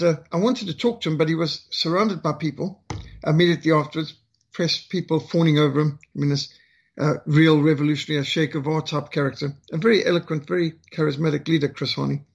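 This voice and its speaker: Older Rhodesian-accented man, husky, fairly high voice, halting earnest storyteller. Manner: earnest, halting with frequent fillers. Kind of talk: personal storytelling talk.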